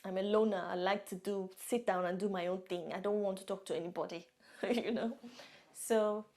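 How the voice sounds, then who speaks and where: A woman's voice: mid-range voice, fairly even intonation, moderate pace, darkish tone. Chatty Nigerian woman telling her story. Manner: chatty, laughs often, repeats herself. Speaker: vlogger. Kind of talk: casual storytelling to camera. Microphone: camera-mounted shotgun mic.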